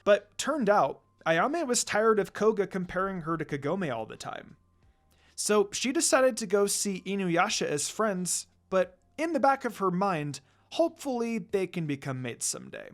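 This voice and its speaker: A man reading fan fiction aloud in an expressive storytelling style. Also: high-pitched, normal speed.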